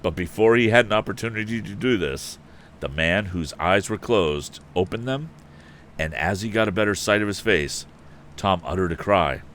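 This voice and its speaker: A man with a low, deep voice reads a story aloud in a lively, dramatic storyteller style. His pitch moves around a lot, and there is faint noise behind him. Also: moderate pace. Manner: expressive, dramatic reading. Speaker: host and reader of a live story stream. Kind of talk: audiobook-style narration with casual asides.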